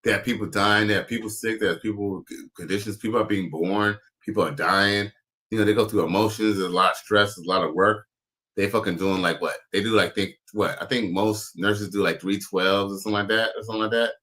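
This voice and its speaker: A man with a low voice and a bright, forceful edge runs a loose commentary. He rambles, swears freely and keeps losing his train of thought mid-sentence. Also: steady tone, fast. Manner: rambling, profane, searching for words. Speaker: commentary show host. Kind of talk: casual reaction commentary.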